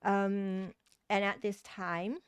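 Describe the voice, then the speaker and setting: A woman's voice: mid-range voice, flat delivery, very slow, clean tone, no echo. A woman with a Thai accent, a tarot reader giving a meandering spiritual reading with many restarts and fillers. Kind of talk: pick-a-card tarot reading.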